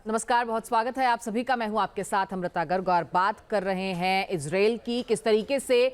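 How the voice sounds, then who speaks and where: A woman's voice: medium pitch, fairly quick, smooth. A woman news anchor, presenting live news in an emphatic, dramatic and wordy manner. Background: news music bed.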